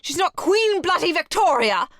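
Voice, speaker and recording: A woman's voice: extremely high pitch, very animated, normal speed, fairly bright tone, no room sound, studio quality, full character voice. A woman playing a feisty, scheming servant in an audio drama, with a sassy, indignant, theatrical delivery. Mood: exasperated. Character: feisty working-class servant woman, scheming, possessive lover. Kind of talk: dramatic audio roleplay acting.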